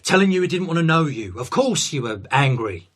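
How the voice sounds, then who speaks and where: A man's voice: on the high side, sing-song, a little fast, background noise. A man playing an insistent police interrogator in a naturalistic radio drama. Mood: pressing, accusing.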